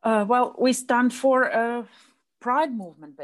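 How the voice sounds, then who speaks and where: A woman's voice: on the high side, unhurried. A woman in her thirties with a Latvian accent, a guest answering interview questions in a hesitant way with many fillers and restarts. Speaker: guest, association president. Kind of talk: casual interview.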